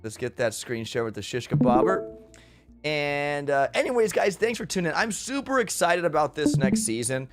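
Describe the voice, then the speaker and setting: A man's voice: a little high, big pitch swings, moderate pace, music in the background. Upbeat congested man hosting a stream. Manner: upbeat, rambling, many fillers and restarts. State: congested head cold. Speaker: streamer host. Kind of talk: casual live stream chat.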